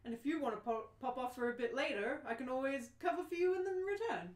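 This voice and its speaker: Bewildered, exasperated everyman for radio comedy, played by a woman, highish, dry, roomy echo. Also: quick pace, natural voice. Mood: reasoning, wary. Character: exasperated, bewildered ordinary Englishman defending his house. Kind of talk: amateur radio play reading. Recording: roomy, echoing live reading.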